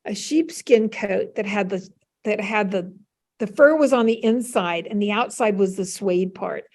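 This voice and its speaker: An older American woman, a panel guest, telling casual stories in an animated way and acting out remembered dialogue. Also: mid-range voice, unhurried. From United States. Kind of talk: casual anecdotal storytelling.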